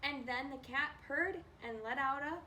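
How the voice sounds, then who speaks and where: A woman reading a children's storybook aloud in an expressive manner, as a librarian does at story time. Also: high-pitched, unhurried. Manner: expressive, acts out animal noises. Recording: distant mic with faint background noise.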